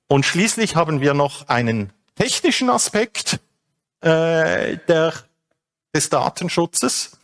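A man explains a legal and technical topic step by step, with frequent hesitations. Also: on the high side. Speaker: speaker on data protection. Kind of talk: explanatory talk.

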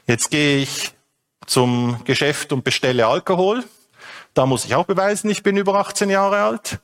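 A man's voice: fairly high pitch, moderate pace. A man explains a legal and technical topic step by step, with frequent hesitations. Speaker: speaker on data protection. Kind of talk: explanatory talk.

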